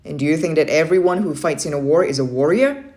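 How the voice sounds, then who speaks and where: A woman's voice: sits low, fast talker, darkish tone, close to an everyday voice. A woman voicing a stern, motivating young Navy uncle in a children's story read-aloud, firm and emphatic. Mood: stern, instructive.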